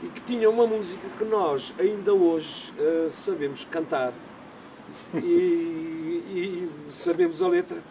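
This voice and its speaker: A man chatting in a documentary interview, playful and lively. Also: high voice, takes it slowly, vocal fry, noisy background. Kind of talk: casual chat.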